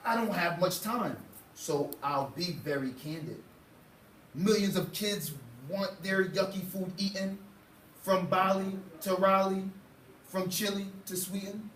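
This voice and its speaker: A man's voice: high-pitched, a little slow, a bit bright. Man playing a demanding storybook monster, echoey room. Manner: boastful, demanding, rhyming patter. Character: bargaining, gluttonous pea-eating storybook monster. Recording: roomy, distant mic, faint noise.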